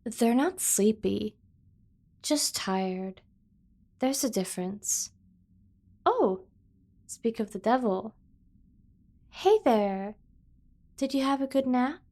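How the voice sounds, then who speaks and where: Casting: a woman to play a playful yandere kidnapper for ASMR roleplay, higher-voiced, unhurried, doting and teasing. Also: lively intonation, mellow. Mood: coaxing, playful. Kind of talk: scripted ASMR roleplay acting.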